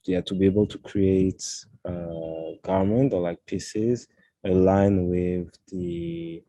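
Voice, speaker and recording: Deep dark-voiced man. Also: fairly even intonation, dry recording. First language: French. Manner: halting, searching for words, many "uh"s. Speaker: fashion designer guest. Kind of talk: casual interview chat.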